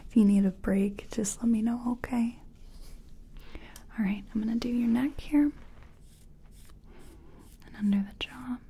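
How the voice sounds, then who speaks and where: A woman playing a gentle, chatty makeup competition contestant in a soft-spoken, whispery ASMR personal attention role-play. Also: fairly high pitch, fairly even intonation, heavily acted. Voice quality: breathy, whispery. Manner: gentle, unhurried, chatty, reassuring. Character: friendly, self-taught makeup competition contestant.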